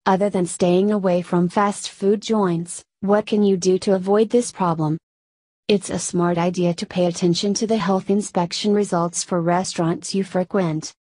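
Low mellow woman reading news. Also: moderate pace, dry recording. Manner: steady read-aloud delivery. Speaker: news reader. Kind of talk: scripted news article reading.

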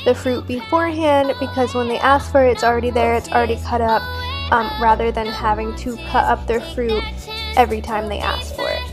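Young woman, high voice, chatty vlog voice-over, faint music underneath. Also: fairly even intonation, fairly quick. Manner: chatty, talking straight to viewers with fillers. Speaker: family vlogger. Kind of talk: casual cooking voice-over.

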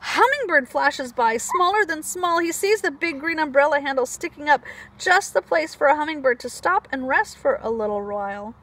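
A woman preschool teacher reading a picture book aloud to young children in an animated, expressive way, with chatty asides. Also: high voice, normal speed, a little hiss or hum.

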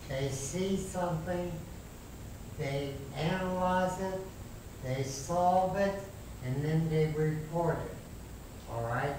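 A man in his eighties making a loud, emphatic, rambling public comment at a city council meeting. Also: a little high. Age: 80s. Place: large council chamber, speaking from a chair. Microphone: far room mic. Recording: echoey chamber from far off with hiss.

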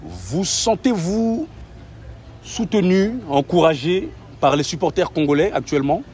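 A man's voice: on the high side, very animated, a little slow, darkish tone, distant mic. A young man asking polite, formal interview questions as the interviewer, speaking outdoors. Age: young adult. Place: outdoors by a hotel pool.

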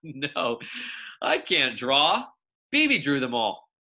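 A man's voice: medium pitch, huge pitch swings, takes it slowly, pushed and bright, exaggerated voice. A young man voicing an earnest, helpful schoolboy in a storybook, speaking plainly and emphatically. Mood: matter-of-fact.

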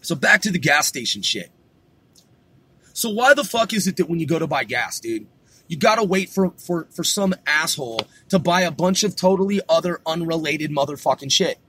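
A man's voice: fairly high pitch, lively intonation, normal speed, sharp tone, breathy edge. A man hosting a podcast, ranting and swearing nonstop while he drives. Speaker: podcast host. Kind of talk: profane rant while driving. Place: in a car on the road.

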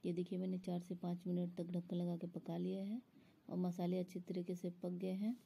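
A woman's voice: fairly low pitch, monotone, fairly quick, gravelly, mellow, breathy edge. A woman explaining a recipe step by step in an instructional voice-over, as a home cook. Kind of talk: step-by-step cooking tutorial voice-over.

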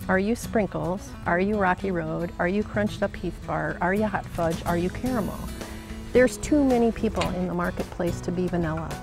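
A woman's voice: fairly low pitch, creaky. Emphatic middle-aged woman job coach. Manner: emphatic, energetic, pep-talk delivery. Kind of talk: documentary interview.

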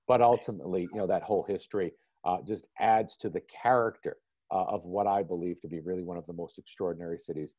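A man giving a relaxed online art history lecture, loose and conversational, with frequent "you know" fillers. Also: low-pitched, fast, no echo. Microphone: video call. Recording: dull lo-fi video call audio.

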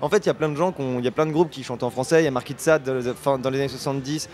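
A man's voice: medium pitch, very fast, a little mellow, a little hiss or hum. A man in his thirties, a band's singer, answering interview questions hesitantly with many restarts, in a festival radio booth. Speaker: singer and lyricist of a band. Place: festival radio booth in front of a sponsor backdrop. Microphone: handheld radio mic held by the host.

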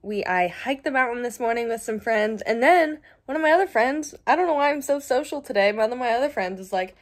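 A woman's voice: fairly high pitch, animated, quick pace. A woman in her twenties chatting casually to a phone camera held at arm's length, upbeat and rambling with asides. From Arizona. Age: 20s. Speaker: vlogger. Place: car, home and outdoor trail.

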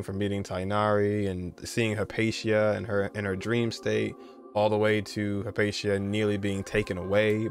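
A man in his twenties with an American accent, giving casual, conversational reaction commentary as a gaming streamer. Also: lowish voice. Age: 20s. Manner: conversational, repeats "you know" and "like". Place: indoor room, streaming setup.

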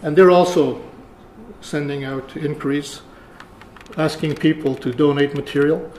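An older man, around seventy, giving a conference lecture in a halting, deliberate way. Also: a little high, fairly even intonation, darkish tone. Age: older, about 70. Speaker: keynote speaker, archivist. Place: lecture room, indoors. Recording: roomy echo, faint room noise.

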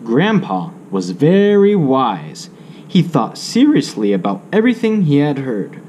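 A man reading a children's story aloud with measured, theatrical expression. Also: medium pitch, wildly swooping pitch, a little mellow, slight background noise.

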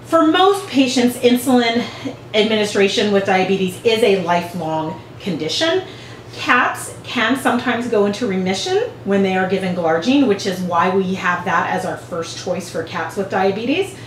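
A woman in her forties talks in a middle pitch, calmly walking listeners through each step the way a veterinarian would. Her voice bounces around a hard-surfaced exam room and is picked up from a camera a few feet away. A faint hum runs underneath. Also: moderate pace, echoey room, rough recording. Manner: clear, patient explaining with hand gestures. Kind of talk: instructional demonstration. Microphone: far camera.

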